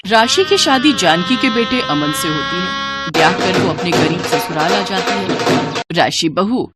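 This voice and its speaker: A woman's voice: mid-range voice, expressive, measured pace, loud music behind the voice. A woman narrating a scripted moral story as a storyteller.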